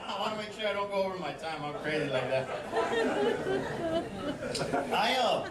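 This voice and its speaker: Guy from east LA doing rambling stand-up, far from the mic, loud crowd. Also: high voice, expressive, moderate pace. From East Los Angeles, USA. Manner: chatty storytelling, many restarts and asides to the crowd. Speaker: stand-up comedian. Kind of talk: stand-up comedy set. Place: comedy stage on a cruise ship. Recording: echoey live venue from far off. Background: loud audience laughter and noise.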